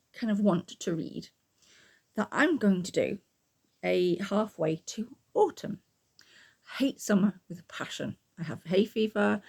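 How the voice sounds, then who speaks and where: A middle-aged woman, croaky from a frog in her throat, chatting to camera in a rambling way full of ums and throat clearing. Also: on the low side, darkish tone. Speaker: book and art YouTuber. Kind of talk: casual chat to camera.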